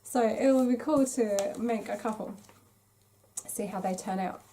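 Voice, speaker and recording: A woman's voice: medium pitch, a little slow, warm tone, a little hiss or hum. A woman hosting a craft podcast, chatting casually and enthusiastically as she shows off her finds. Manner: enthusiastic, gushing. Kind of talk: casual vlog chat, showing craft magazines.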